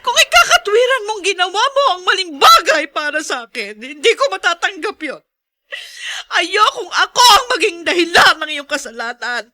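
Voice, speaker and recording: Casting: middle-aged mother losing her sight, radio drama. High, forceful voice; emotive, morally firm, long-suffering. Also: very animated, normal speed, heavily acted. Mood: anguished, resolute. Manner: emotive, emphatic, moralizing delivery. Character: mother with failing eyesight, upright, anguished, long-suffering. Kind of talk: Filipino radio drama acting.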